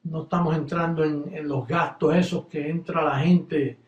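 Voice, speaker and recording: A man from Puerto Rico with a Puerto Rican Spanish accent, preaching fervently and then chatting relaxed as he closes. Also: fairly high pitch, normal speed, roomy sound, highs cut off. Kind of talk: sermon closing and farewell.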